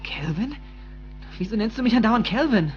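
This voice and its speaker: A man playing a cheeky, bewildered teenage boy in dubbed film dialogue, expressive and incredulous. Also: sits high, normal speed, muffled recording, music in the background.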